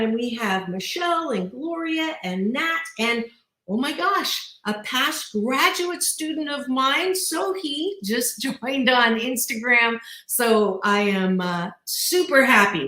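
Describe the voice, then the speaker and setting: A woman hosting a radio talk show, bubbly and loud, laughing often. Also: fairly high pitch, reverberant. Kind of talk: energetic radio chat.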